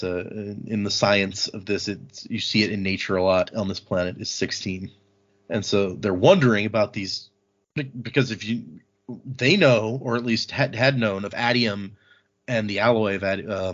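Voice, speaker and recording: A man's voice: lowish voice, a bit bright, muffled recording. A man hosting a podcast, recapping a book in a casual, informal way. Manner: informal, acts out quoted dialogue briefly. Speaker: podcast host.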